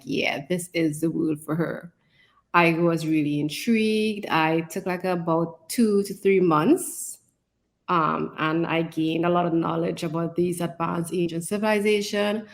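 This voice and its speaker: A woman talking conversationally as an author and co-host, in a reflective way and often adding "you know". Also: fairly low pitch. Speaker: fantasy author and podcast co-host.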